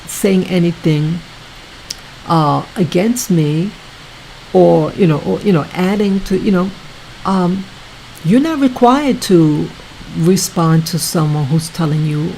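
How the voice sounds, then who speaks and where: A woman hosting her own video channel, talking casually to her viewers in a soft, soothing voice, halting often and repeating herself. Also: medium pitch, slight background noise, rough recording. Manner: slow, repetitive, halting with many restarts. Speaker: YouTube channel host.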